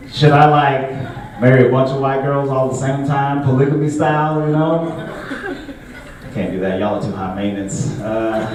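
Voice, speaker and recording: A young man performing a stand-up comedy set in a relaxed, conversational way, full of filler words. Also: fairly high pitch. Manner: laid-back, conversational, frequent filler words. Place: comedy show venue with a live audience. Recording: echoey room, distant mic, audible noise.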